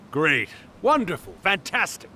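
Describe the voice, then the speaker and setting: A man's voice: high-pitched, bright, forceful tone, a little hiss or hum, full character voice. A man playing a centuries-old spirit in an anime-style video game, fond and weary, with a theatrical, wistful, teasing way of talking. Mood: cheerful.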